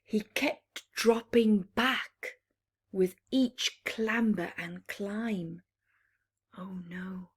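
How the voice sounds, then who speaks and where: A woman reads a children's picture book as a storyteller. Her pace is extremely slow and her voice has a husky, breathy edge. She is dramatic and expressive, dropping into hushed explanatory asides. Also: mid-range voice.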